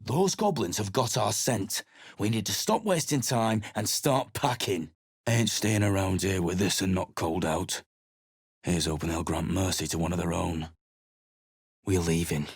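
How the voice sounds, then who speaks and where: Gruff sellsword, husky, low-voiced, dry studio sound. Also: male voice, measured pace, dry recording, heavily acted. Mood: surly. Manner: surly, contemptuous. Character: gruff rough-spoken male mercenary leader. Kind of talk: video game voice acting.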